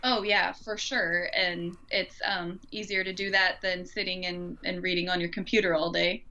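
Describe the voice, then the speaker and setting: Bright-voiced woman on slightly dull lo-fi audio. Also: on the low side, little pitch movement, normal speed. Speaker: podcast co-host. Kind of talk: casual podcast chat.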